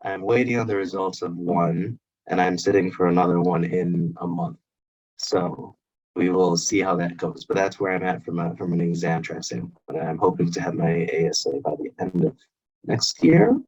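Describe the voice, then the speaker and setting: A man's voice: low-pitched, normal speed, a little mellow, lo-fi sound. A young man in his twenties introducing himself as an actuarial analyst on a career fair panel, speaking eagerly with many fillers and restarts.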